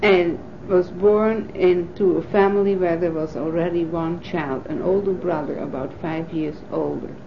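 A woman in her fifties from the Netherlands, a native Dutch speaker, recalling her life in an oral history interview with thoughtful pauses and fillers. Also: on the low side, steady tone, slight background noise. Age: 50s. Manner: thoughtful, pausing with frequent fillers. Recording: dull lo-fi 1980s tape recording.

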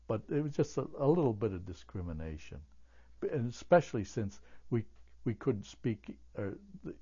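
An older man whose first language is German, recalling his childhood in an oral history interview, halting with many fillers and restarts. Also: fairly low pitch, warm tone, slightly rough, dull audio.

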